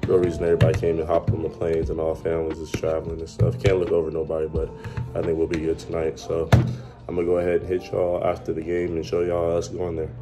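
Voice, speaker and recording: A young man vlogging casually to his phone in a hotel room, with a relaxed slangy delivery full of ums. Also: sits low, fast talker, music in the background. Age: young adult. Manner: laid-back slangy talk with many ums. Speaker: college basketball player vlogger. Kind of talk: casual vlog to camera. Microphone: phone held at arm's length.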